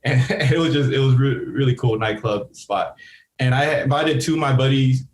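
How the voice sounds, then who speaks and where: A man's voice: medium pitch, normal speed, darkish tone. A podcast guest from Seattle tells a story in a loose, rambling way. He restarts his phrases often and fills gaps with casual fillers. His voice rings with the echo of the room. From Seattle, USA. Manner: rambling, repeats words, many fillers. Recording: roomy echo.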